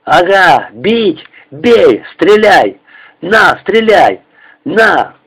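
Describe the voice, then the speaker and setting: A man voicing a frenzied young soldier, ranting hoarsely in short, furious bursts in a war story. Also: high voice, sing-song, very slow, voice pushed up, heavily acted. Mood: furious defiance. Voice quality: hoarse shouting. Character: frenzied young male soldier, raging and accusing.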